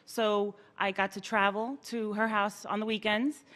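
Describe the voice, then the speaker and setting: A woman's voice: mid-range voice, little pitch movement, a little slow, fairly bright tone. A woman on a panel telling her personal story earnestly, starting phrases with "uh".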